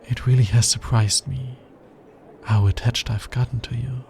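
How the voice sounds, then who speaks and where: Guy doing a lovestruck earnest alien scientist, asmr roleplay, soft mellow voice with some fry, wistful and measured. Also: mid-range voice, fairly even intonation, slight background noise, close to an everyday voice. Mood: tender, earnest. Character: smitten male alien scientist, earnest, tender, fascinated by humans.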